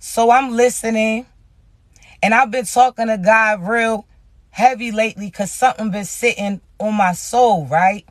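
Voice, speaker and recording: Raspy, loud man with a very high voice and a dry, close sound, delivering a spiritual reading slowly and emphatically. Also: no echo. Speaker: spiritual message reader. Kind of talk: casual spiritual message to viewers.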